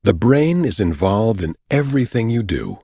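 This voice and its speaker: Husky, expressive male audiobook narrator. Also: lowish voice, dry recording, studio quality. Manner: measured, deliberate, explanatory delivery. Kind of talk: scripted nonfiction audiobook narration. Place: dry recording studio.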